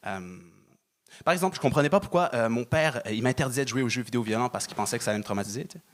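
A man's voice: mid-range voice, racing. Hesitant male stand-up comic. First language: Arabic-speaking family, says he speaks French imperfectly. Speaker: stand-up comedian performing on a show. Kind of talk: conversational stand-up storytelling and banter.

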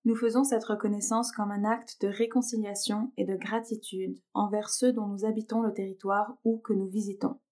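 A woman reading a formal statement slowly and evenly. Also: mid-range voice. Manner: slow, even, formal reading. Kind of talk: read land acknowledgement.

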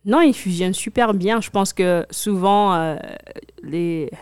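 A young woman from Africa, a hip-hop artist, chatting backstage in an interview, relaxed, with plenty of fillers and restarts. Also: medium pitch, measured pace, clean tone, no room sound, clean studio sound.

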